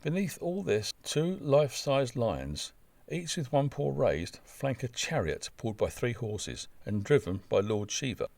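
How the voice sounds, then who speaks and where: A man's voice: medium pitch, sing-song, unhurried, gravelly, darkish tone, no room sound. A man reading a scripted travel narration in a wry, wordy, descriptive manner. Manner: wry, dry-humoured, wordy descriptive delivery.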